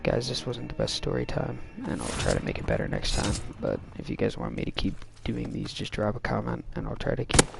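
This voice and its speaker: A young man with a heavily gravelly, creaky voice tells a long story in a loose, rambling way, full of fillers. He speaks into a microphone while loud game music plays underneath. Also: mid-range voice, big pitch swings, brisk, few pauses. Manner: rambling, many fillers and restarts. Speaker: storytime vlogger. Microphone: desk microphone.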